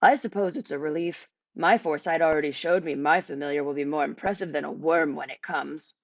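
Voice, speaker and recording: A woman's voice: low voice, a little fast, dry recording, pitched below the performer's own voice. A woman voicing a proud, superior boy wizard who hides his disappointment, in a children's storybook. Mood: smug. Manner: superior, forced enthusiasm.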